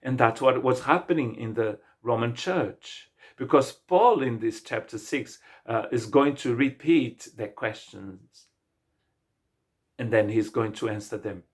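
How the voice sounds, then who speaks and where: Husky Brazilian-accented male pastor preaching. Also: mid-range voice. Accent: Brazilian Portuguese. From Brazil, state of Rio de Janeiro. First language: Portuguese. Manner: earnest, halting, with frequent restarts and fillers. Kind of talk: sermon.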